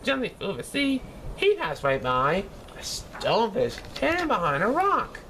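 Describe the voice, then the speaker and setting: A man voicing an excitable, childlike cartoon sea sponge, eagerly reading a children's storybook aloud and stumbling over words. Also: sits high, a little slow, slight background noise, heavily acted. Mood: bubbly storytelling.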